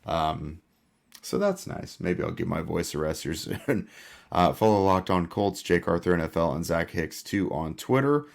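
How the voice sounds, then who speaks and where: A man hosting a casual sports podcast, hoarse and losing his voice, with a laid-back delivery full of "uh" fillers. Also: deep voice, animated, normal speed. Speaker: podcast host.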